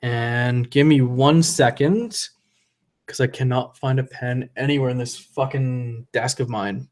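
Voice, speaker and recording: A man's voice: medium pitch, fairly even intonation, measured pace, a little mellow. Rambling tipsy man on a livestream. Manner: rambling, loose and profane, reading chat aloud. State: tipsy from drinking. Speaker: livestream host. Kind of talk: casual live stream chat. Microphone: desk USB condenser mic.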